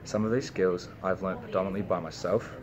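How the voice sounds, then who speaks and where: A man's voice: sits low, steady tone, brisk, a crowd in the background. A young Australian man, a university student, reading a prepared personal speech with small slips and restarts. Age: young adult.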